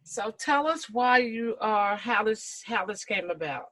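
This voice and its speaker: A woman hosts a radio talk show, welcoming a guest and asking questions. She speaks slowly and deliberately, reacting with soft affirmations. The sound is dry. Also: medium pitch, lively intonation, dry recording.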